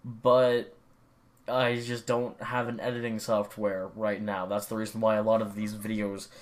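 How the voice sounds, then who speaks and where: A man's voice: on the low side, flat delivery, measured pace, slight background noise. A young man rambling casually at his computer about gaming, swearing often and full of fillers and restarts. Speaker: gaming vlogger.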